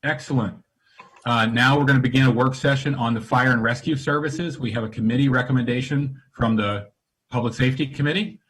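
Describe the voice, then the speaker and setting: A man presiding over a council session on a video call, running procedure in a brisk, formal way. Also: mid-range voice, fairly bright tone, slightly rough, low-quality recording. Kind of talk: formal meeting chairing.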